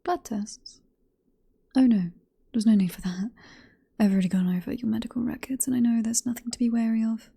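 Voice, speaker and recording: A British woman voicing a possessive, teasing vampire professor in an ASMR roleplay, in a soft, breathy whisper with a coaxing, unhurried manner. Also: a little high, fairly even intonation. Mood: persuasive, soothing. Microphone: close ASMR microphone.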